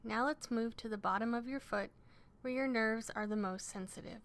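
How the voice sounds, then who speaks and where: A woman leading a scripted guided relaxation exercise as an instructor, speaking in measured, instructional phrases. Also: fairly high pitch, a bit bright.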